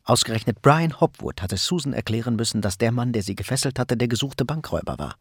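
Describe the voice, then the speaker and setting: A man's voice: mid-range voice, lively intonation, fast, breathy edge, dry recording, clean studio sound. A man narrating a crime radio play in a sober, scripted storytelling style.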